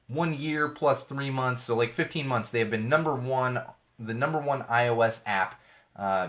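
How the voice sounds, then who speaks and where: A man's voice: medium pitch, a bit bright. Casual ranting male podcast host. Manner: ranting, sarcastic, swears freely. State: voice slightly off from an ear infection. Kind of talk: casual solo show talk.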